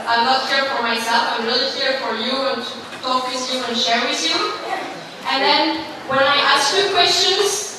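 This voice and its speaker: A woman's voice: high-pitched, background noise. A woman English teacher speaking informally to a class, encouraging students to ask questions, with frequent fillers like "like" and "um". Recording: echoey hall, voice from far off.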